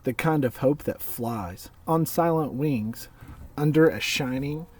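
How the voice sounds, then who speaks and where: A man reading a children's story aloud in a measured way, with pauses between phrases. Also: a little high, husky, recorded from a distance. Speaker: storybook reader.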